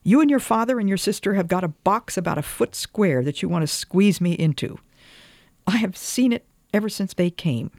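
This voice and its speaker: A woman's voice: low voice, expressive, normal speed, a little mellow, no room sound, clean studio sound. A woman voicing a passionate, wounded young wife in an old-fashioned storybook, with emphatic, pleading delivery. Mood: indignant, passionate.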